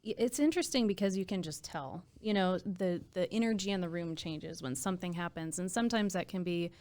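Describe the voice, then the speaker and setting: A woman with an American accent and a smooth, resonant voice, pitched a little low. She talks in an earnest, conversational way, like an interview guest recounting her work with admiration for her colleagues. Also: fairly quick, a little mellow. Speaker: emergency dispatch supervisor and trainer, podcast guest. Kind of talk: casual interview storytelling.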